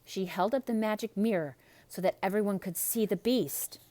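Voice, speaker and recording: Expressive woman storyteller, dry sound. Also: mid-range voice, normal speed, no echo. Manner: expressive, dramatic. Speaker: storyteller reading aloud to children. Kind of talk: theatrical children's read-aloud narration.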